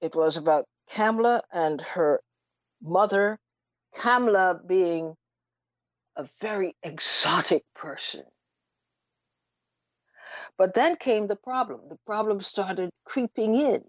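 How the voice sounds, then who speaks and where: A woman giving unscripted radio commentary in an emphatic, deliberate manner, with rhetorical questions and pauses. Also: mid-range voice, expressive, no room sound. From Trinidad. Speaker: radio talk show host. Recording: dull lo-fi radio audio.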